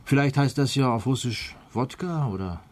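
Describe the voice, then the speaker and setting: An actor playing a wry, sardonic police detective with a measured delivery in a German crime radio play. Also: male voice, mid-range voice, animated, darkish tone, close to an everyday voice.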